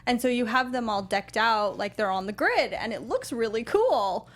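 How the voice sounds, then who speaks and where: A young woman co-hosting a casual movie review show, candid and quick to laugh. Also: a little high, expressive. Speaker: podcast co-host. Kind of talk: casual film review chat.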